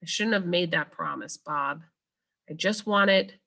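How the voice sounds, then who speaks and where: Woman as a solemn, low male gorilla. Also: a little slow, creaky, pushed and bright, exaggerated voice. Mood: regretful. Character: grieving adult male silverback gorilla, solemn and self-doubting.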